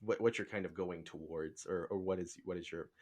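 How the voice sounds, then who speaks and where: Guy hosting a chatty podcast, kinda low voice, stumbles around his words a lot. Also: fairly even intonation, darkish tone. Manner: hesitant, rambling, halting. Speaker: podcast host. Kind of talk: casual podcast interview chat.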